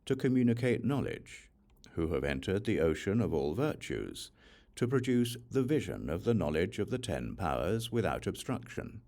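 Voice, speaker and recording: A man narrating a scripture audiobook in measured, solemn phrases. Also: lowish voice, very animated, a little mellow.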